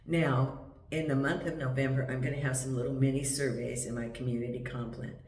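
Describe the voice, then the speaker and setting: Croaky man reading tarot. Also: medium pitch, little pitch movement, brisk, a little mellow, distant mic. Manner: chatty, meandering, with many ums. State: croaky from a cold. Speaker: tarot reader. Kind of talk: casual tarot reading to camera. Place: home in Portugal. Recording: echoey room, voice set back from the mic, faint hiss.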